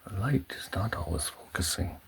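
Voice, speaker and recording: A man giving a soft-spoken ASMR home tour in a hushed, halting way, often slipping into a whisper. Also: low-pitched, animated, gravelly. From Canada. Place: indoors at home, in a pantry.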